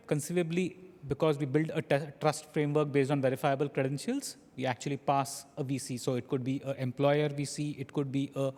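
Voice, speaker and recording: A man on a conference panel explains his point, rushing through some stretches and slowing on others. His mid-pitched voice carries a long hall reverb. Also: darkish tone. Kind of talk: panel discussion answer.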